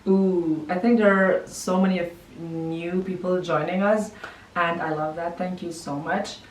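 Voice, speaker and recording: A woman's voice: fairly low pitch, measured pace, a little hiss or hum. Woman, echoey room. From Kazakhstan. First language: Kazakh. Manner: chatty, relaxed, many "like" fillers. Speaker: vlogger giving a home tour. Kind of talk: casual house tour narration. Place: home living room and bedroom. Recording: echoey room, mic at a distance.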